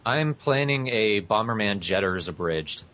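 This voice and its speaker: A man's voice: fairly low pitch, animated, unhurried, fairly bright tone. A man co-hosting a podcast, talking casually with friends. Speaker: podcast co-host. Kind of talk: casual group chat. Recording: muffled lo-fi audio.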